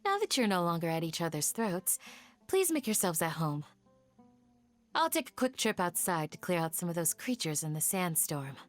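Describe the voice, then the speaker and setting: A woman voicing a calm, dignified female guardian in a video game, composed and formal in manner. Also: on the low side, moderate pace. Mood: reassuring. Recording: music behind the voice.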